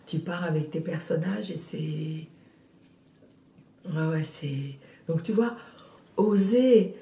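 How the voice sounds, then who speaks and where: A woman in her sixties with a cold, chatting in an interview about her first novel, hesitant with many fillers and restarts but lively in bursts. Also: low-pitched, slow, gravelly, reverberant, distant mic, a little hiss or hum. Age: 60s. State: has a cold. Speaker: guest, novelist. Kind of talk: casual interview chat.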